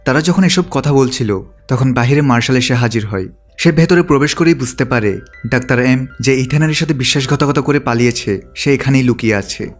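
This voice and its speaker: A man's voice: medium pitch, fairly quick. A man reading scripted narration that retells a film's story as a recap narrator. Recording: background music under the voice. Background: music.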